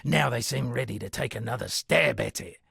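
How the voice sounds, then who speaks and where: An actor voicing an eccentric washed-up sea captain and would-be poet in a video game, gravelly and husky, with theatrical, rambling, florid delivery. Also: male voice, medium pitch, a little fast, bright, forceful tone, no room sound, clean studio sound, full character voice. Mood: ominous, wry. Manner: theatrical, rambling, florid old seafaring turns of phrase. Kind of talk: video game character dialogue.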